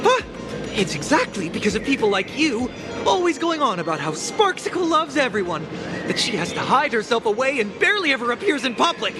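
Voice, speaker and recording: A man's voice: very high-pitched, sing-song, fairly quick. A man playing an obsessive, lovesick livestream fan in a video game, whiny and defensive. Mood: defensive, possessive. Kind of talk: game voice acting. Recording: music behind.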